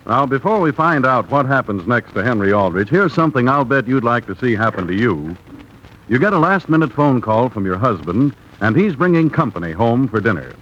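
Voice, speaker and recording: A man working as a radio announcer, delivering a 1930s advertising pitch in a salesmanlike way. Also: big pitch swings, brisk, a bit bright. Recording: dull, noisy 1930s radio recording.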